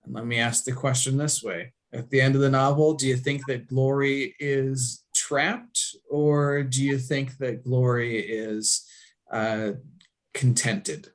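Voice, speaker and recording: A man hosting a book discussion, speaking in a thoughtful, halting way. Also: medium pitch, steady tone. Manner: hesitant, halting phrasing.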